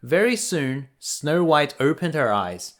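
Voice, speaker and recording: A man reading a fairy tale aloud to children as a bedtime storyteller, deliberate and expressive. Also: a little high.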